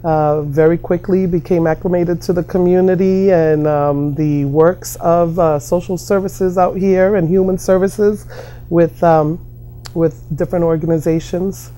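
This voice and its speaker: A middle-aged American woman answering informally in an interview, halting and often repeating her phrases. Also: lowish voice, steady tone, warm tone. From New York and Massachusetts, United States. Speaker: interviewee, community worker.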